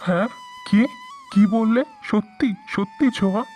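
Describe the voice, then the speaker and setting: A man's voice: sits high, normal speed, a little mellow, lightly acted. A man playing a devoted young husband in a melodramatic cartoon, earnest and emotional, often repeating his phrases. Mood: joyful, tender.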